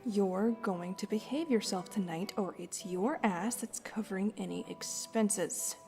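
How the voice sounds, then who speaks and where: A woman's voice: medium pitch, measured pace, darkish tone, soft background music, lightly acted. A woman playing a stern, short-fused team boss in an audio drama, with a curt, commanding manner.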